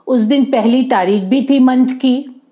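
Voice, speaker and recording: A woman's voice: high voice, measured pace, dark tone. A woman telling a moral story to children, often rephrasing herself and mixing in English words. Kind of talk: oral moral storytelling for kids.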